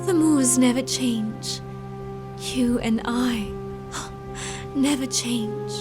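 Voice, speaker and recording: A woman playing a willful, volatile young gentlewoman in an impassioned, theatrical period audio drama. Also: a little high, extremely slow, music in the background. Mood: tender, rapturous. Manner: impassioned, theatrical, swinging from tender to imperious.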